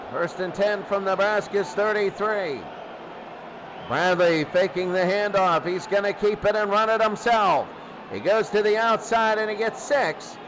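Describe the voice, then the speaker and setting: A man calling simulated football games as a play-by-play announcer, his voice jumping up and getting loud on big plays. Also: high-pitched, noisy background. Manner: excitable, voice jumps up and gets loud on big plays. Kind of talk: simulated radio play-by-play commentary.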